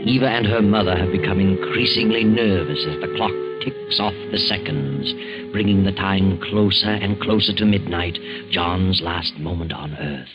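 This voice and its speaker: An actor playing an ominous, all-knowing narrator in a 1940s radio mystery. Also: male voice, sits low, moderate pace, close to an everyday voice. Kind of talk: dramatic scripted radio narration. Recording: muffled 1940s radio recording, loud music. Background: loud instrumental music.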